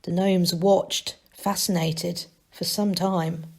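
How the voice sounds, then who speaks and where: A woman reading a children's novel aloud as a storyteller, in expressive scripted narration. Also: lowish voice, slow, vocal fry.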